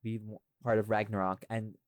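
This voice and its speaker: A man's voice: fairly low pitch, flat delivery, moderate pace, no echo. A man hosting a casual podcast discussion, rambling and thinking aloud as he goes. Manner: rambling, thinking aloud, often checks with "right?". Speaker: podcast host and audio series creator.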